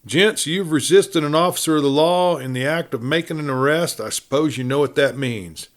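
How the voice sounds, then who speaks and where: A man's voice: a little high, normal speed, voice pushed up, natural voice. A man acts out a small, dusty sheriff from a western storybook. He is hard, careful and sly. His talk is folksy and drawling, full of frontier plainness. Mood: wry, frank.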